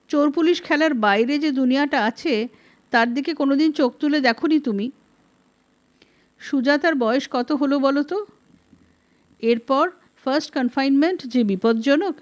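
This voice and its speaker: A woman voicing a scolding, exasperated middle-aged wife in a story, emphatic and reproachful. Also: sits high. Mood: indignant. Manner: emphatic, reproachful, rhetorical questioning.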